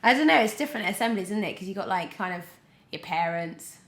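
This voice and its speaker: A young British woman chatting casually as a podcast guest, telling stories in an animated way peppered with "like". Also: mid-range voice, quick pace. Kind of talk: casual interview chat. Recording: roomy, echoey sound.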